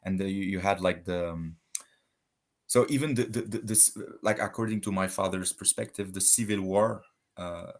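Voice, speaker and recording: A man from Switzerland talking as a guest in a casual debate conversation, halting and searching for words with many restarts. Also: low voice.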